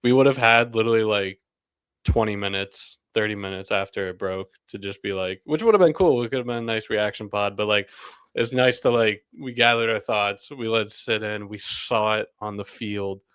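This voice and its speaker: A man's voice: fairly low pitch, normal speed, fairly bright tone, clean tone, dry recording. A young man hosting a casual sports podcast, a little under the weather and rambling with lots of "like" and laughs. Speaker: podcast host.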